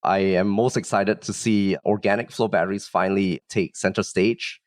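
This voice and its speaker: Low-voiced man from Singapore, close and dry. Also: fairly even intonation, moderate pace, no echo. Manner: modest, explanatory, with frequent "like" and "you know" hedging. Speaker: startup founder, guest. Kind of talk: casual podcast interview.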